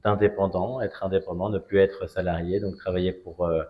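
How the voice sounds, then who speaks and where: Man, forties, from Strasbourg, lowish pitch, interview guest, measured and hesitant phrasing, frequent pauses. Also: level tone, dark tone. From Strasbourg, France. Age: 40s. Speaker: interview guest, cigar brand ambassador. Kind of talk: conversational interview, personal story.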